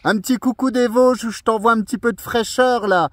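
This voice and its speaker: A man's voice: very high-pitched, steady tone, fairly quick, dry recording, clean studio sound. A man chatting in a friendly way as a portable radio operator, his voice coming through a radio transmission. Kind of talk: friendly radio contact chat. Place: outdoors on a mountain, heard over radio.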